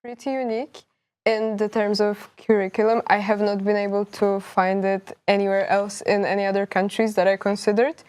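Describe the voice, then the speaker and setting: A woman's voice: medium pitch, flat delivery, normal speed, darkish tone, clear, resonant tone. A young woman from Poland, a university student guest, explaining things eagerly in casual chat. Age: young adult.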